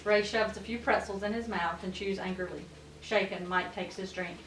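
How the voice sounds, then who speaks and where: A woman's voice: medium pitch, fairly even intonation, normal speed, a bit bright. A woman reading out stage directions as the narrator of a scripted table read. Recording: echoey room, distant mic, faint noise.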